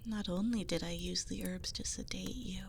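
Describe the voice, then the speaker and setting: A woman's voice: on the low side, fairly even intonation, normal speed, vocal fry. A woman playing a seductive, possessive witch in an ASMR audio roleplay, coy and flirtatious with a politely sinister manner. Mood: whispered menace. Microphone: close binaural 3Dio microphone. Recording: nature sounds and fire crackle behind voice. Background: nature ambience, fireplace.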